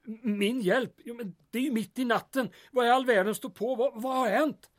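An actor playing a grumpy, pompous small-town mayor in a comic audio drama, exasperated and stiffly formal. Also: male voice, extremely high pitch, fairly even intonation. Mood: baffled. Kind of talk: comic radio-play acting.

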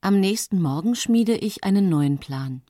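A woman's voice: medium pitch, animated, unhurried, dark tone, dry recording, studio quality. An actress playing a plotting widow who recounts her deeds with composed gravity in a radio play. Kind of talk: dramatic first-person radio play narration. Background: faint music.

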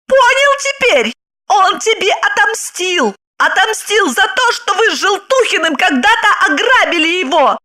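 A brassy middle-aged wife who jeers and shrieks at her husband in a piercing, very high voice that leaps all over the place. Her tone is bright and sharp, full of mockery. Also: very animated, measured pace, full character voice. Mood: shrieking. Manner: shrieking, mocking, cackling. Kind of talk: audiobook character reading.

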